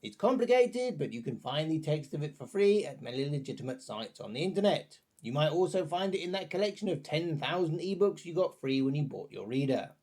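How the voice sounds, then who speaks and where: Man, lively swooping intonation, slightly high voice, scripted review narration, chatty, tongue-in-cheek. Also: brisk, hardly any pauses. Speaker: reviewer, presenter.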